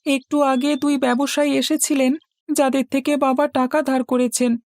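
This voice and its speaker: A woman's voice: sits high, little pitch movement, normal speed, a little mellow, no echo, clean studio sound, lightly acted. A woman voicing an affectionate, anxious young boy, a little brother in a fairy-tale cartoon.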